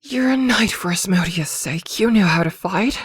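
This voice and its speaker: A woman's voice: medium pitch, lively intonation, moderate pace, husky, no room sound, studio quality. A woman playing a possessive, protective witch girlfriend in an ASMR roleplay, scolding and fussing tenderly by turns. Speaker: voice actor in an audio roleplay.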